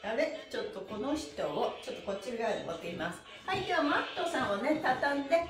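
A middle-aged woman leading an online stretch class in a cheerful, animated, encouraging manner. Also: high voice, brisk, over music. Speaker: stretch class instructor and host. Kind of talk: live online exercise lesson, counting and chatting to participants. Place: small room indoors, on a mat on the floor. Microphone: camera a few steps away. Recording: roomy echo.

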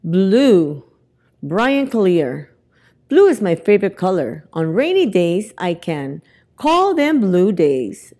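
Slow, lively woman reading a picture book. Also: lowish voice. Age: middle-aged. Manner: expressive, deliberate reading to young children. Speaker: library storytime reader. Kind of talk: children's picture book read-aloud. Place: indoors in a library, among bookshelves. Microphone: lapel mic.

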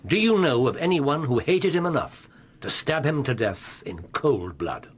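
An actor playing a brisk, commanding gentleman detective with an English accent in a radio drama, precise and probing. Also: medium pitch, big pitch swings, husky. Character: incisive, commanding middle-aged gentleman detective. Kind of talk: British radio drama acting.

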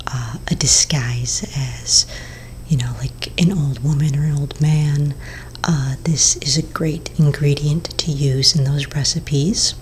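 A middle-aged woman whispering softly as a potion shopkeeper in an ASMR roleplay, speaking slowly with many gentle fillers, close to the mic in a small room. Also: very deep voice, slight background noise. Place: small indoor room before shelves of bottles.

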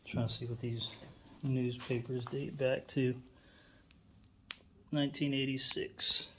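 A man's voice: mid-range voice, little pitch movement, slow pace. A man narrating a casual, off-the-cuff walk-through inside a small empty house, musing hesitantly into a handheld phone. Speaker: urban exploration vlogger. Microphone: handheld phone behind the camera. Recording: noisy handheld recording with clicks.

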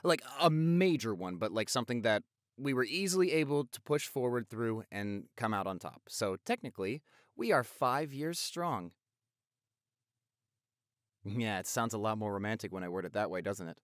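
A man's voice: on the low side, expressive, moderate pace, dry recording, clean studio sound, natural voice. A young man playing an affectionate, playful doctor boyfriend in an intimate audio roleplay, chatty and gushing as he talks to the listener. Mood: reflective, affectionate. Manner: gushing, chatty, with repeated words and exclamations.

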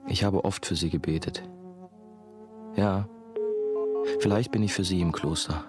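An actor playing a brooding, haunted former novice, confiding his story in measured, terse radio drama narration. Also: low voice, over loud music. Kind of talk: first-person radio drama monologue.

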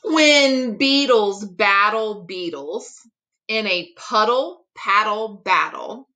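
Bright-voiced woman reading a children's rhyme book. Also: medium pitch, animated. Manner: deliberate, careful tongue-twister delivery. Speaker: school teacher reading aloud. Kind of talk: expressive read-aloud of a rhyming children's picture book. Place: small room at home, indoors.

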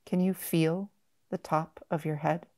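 A woman guiding a meditation in a gentle, measured manner, with long pauses between short phrases. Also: deep voice, steady tone. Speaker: mindfulness meditation guide. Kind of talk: guided body-scan meditation.

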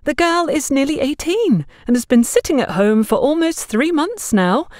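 A woman's voice: high voice, animated, moderate pace, darkish tone, no echo, studio quality, pitched above the performer's own voice. A woman voicing an overbearing, gossipy, smugly condescending woman in an audio story, talking down to others in an effusive way. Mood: bossy, exasperated. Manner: bossy, effusive, talks down to others.

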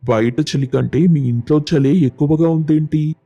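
A man's voice: fairly high pitch, moderate pace, dark tone, dull audio, faint music behind. A man as a grave, sarcastic village doctor. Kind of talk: animated storybook dialogue.

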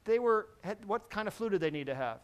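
A middle-aged man giving a technical lecture to a seated audience in a large conference hall, lively and constantly checking in with the listeners. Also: sits high, brisk, clean tone. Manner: lively, quizzes the audience with frequent "right?". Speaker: presenter at a technical symposium.

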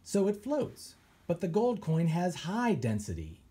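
Author guy reading a kids book out loud, playful pirate-y delivery, mellow voice. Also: high voice, unhurried, slight background noise. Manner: animated storytelling with pirate flair. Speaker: children's author reading aloud. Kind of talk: expressive read-aloud.

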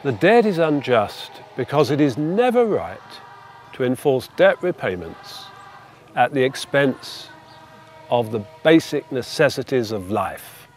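An older man, a retired campaigner, speaking in an earnest, measured way in a documentary interview. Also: medium pitch, very animated, a little hiss or hum. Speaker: debt campaigner.

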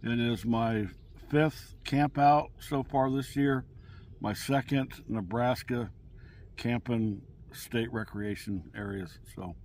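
A man's voice: medium pitch, slow, a little mellow. A middle-aged man, talking casually to the camera while cooking at a campsite, rambling with many fillers and restarts. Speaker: camping vlogger. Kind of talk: casual outdoor cooking vlog. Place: campsite outdoors on open grass. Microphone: camera on a table nearby.

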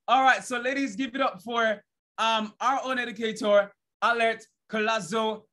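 A young man with a high, bright, forceful voice hosts an online event from his bedroom over a video call. He is an energetic hype man who keeps tossing out "right?" and at times breaks into a shout. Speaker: host. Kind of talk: hyped-up event hosting. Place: bedroom at home.

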